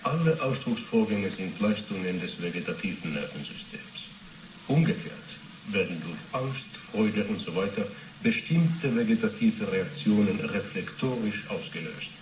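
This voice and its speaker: A man's voice: lowish voice, animated, normal speed, recorded from a distance, lightly acted. An actor playing an earnest Finnish doctor in his thirties who lectures and defends himself in a radio drama. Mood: lecturing. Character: earnest thirty-one-year-old Finnish neurology doctor under questioning. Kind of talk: 1980s German radio drama acting. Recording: roomy, noisy interrogation recording.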